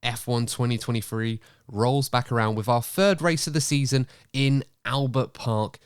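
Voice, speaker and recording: A man hosting a podcast, chatty and upbeat, a little croaky from a cold. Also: mid-range voice, steady tone, moderate pace, no echo, clean studio sound. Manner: chatty, upbeat, running the show. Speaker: podcast host. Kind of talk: casual podcast chat.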